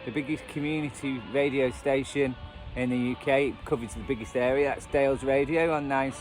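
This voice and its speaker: A man's voice: mid-range voice, steady tone, a little fast, faint music behind. A man with a Northern English accent chatting casually and unscripted as a hobbyist vlogger, thinking aloud with hesitant, trailing phrases. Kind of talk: casual unscripted chat, demonstrating a radio band scan. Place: outdoors at edge of a churchyard. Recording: noisy outdoor recording with traffic ambience.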